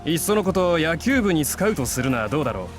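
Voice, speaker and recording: Fast-talking blunt high school boy. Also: sing-song. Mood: worried, bantering. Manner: rough, offhand schoolboy talk. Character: blunt, casual male high school classmate. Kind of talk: Japanese anime visual novel acting. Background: game music.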